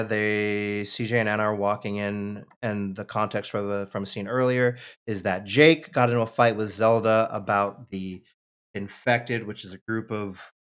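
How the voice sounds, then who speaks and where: A man's voice: lowish voice, a bit bright, clean tone. A young man hosting casually on a livestream, rambling and correcting himself as he explains and narrates. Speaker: host and aspiring screenwriter reading stage directions.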